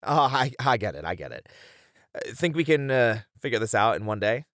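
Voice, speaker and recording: A man playing a hesitant but curious, excitable game developer, speaking casually and expressively in a scripted ASMR horror roleplay. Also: medium pitch, a little fast, no echo, clean studio sound. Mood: unnerved. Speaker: voice actor in an audio roleplay.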